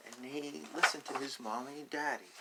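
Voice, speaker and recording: A man's voice: mid-range voice, moderate pace, heavy background noise. A man reading a picture book aloud to young children, explaining simply with repeated asides. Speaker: storybook reader.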